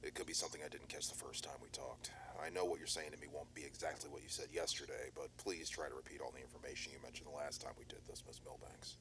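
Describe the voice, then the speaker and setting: A man voicing a steady private detective from an analog horror tape, his voice extremely low and his delivery quick but formal. He stays measured and reassuring, with faint noise under the recording. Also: exaggerated voice. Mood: calm. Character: calm male private detective, steady, methodical. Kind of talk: analog horror audio drama.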